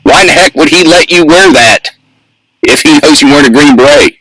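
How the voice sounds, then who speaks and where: A man's voice: fairly high pitch, fairly quick, a bit bright. A man acting as an investigator, asking direct and persistent questions in a phone interview. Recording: distant-sounding phone call.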